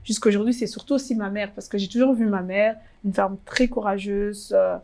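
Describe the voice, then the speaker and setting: A young woman from Congo, in her twenties, talks through her memories in a relaxed interview. She hesitates often, filling pauses and restarting her sentences, in a mid-range voice. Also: mid-range voice, a little hiss or hum. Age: 20s. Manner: hesitant, many fillers and restarts. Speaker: interviewee, fashion blogger. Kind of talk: casual interview chat.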